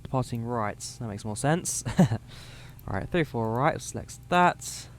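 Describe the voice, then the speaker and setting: A man's voice: mid-range voice, animated, a little slow, a little hiss or hum. A young man with a slightly worn voice, casually talking viewers through setting up a flight sim cockpit and reading out codes and numbers. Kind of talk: casual live commentary while setting up a flight.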